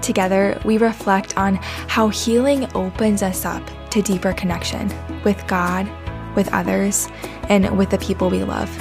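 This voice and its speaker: A woman's voice: mid-range voice, fairly even intonation, normal speed, over music. A woman hosting a podcast, opening with a scripted introduction and then interviewing her guest in an encouraging way. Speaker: podcast host.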